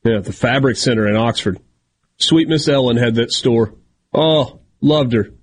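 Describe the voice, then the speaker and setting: A man's voice: medium pitch, a little slow, slight background noise. A man hosting a sports radio show, chatty and self-deprecating, with a big voice. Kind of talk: casual sports radio talk.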